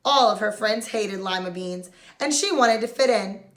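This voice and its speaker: A woman storyteller reads a children's picture book aloud in a mid-pitched voice. Her delivery is expressive and clearly aimed at young listeners. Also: moderate pace, echoey room.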